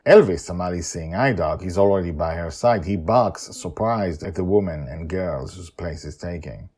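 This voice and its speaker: A man hosting a storytelling podcast, narrating with animated, chatty asides. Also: low voice, normal speed, husky.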